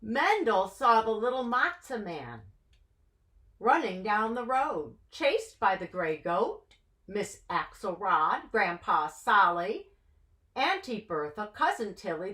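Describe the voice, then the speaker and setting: A woman reading a children's story aloud in an expressive, dramatic way, drawing out her phrases. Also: mid-range voice, slow. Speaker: story reader.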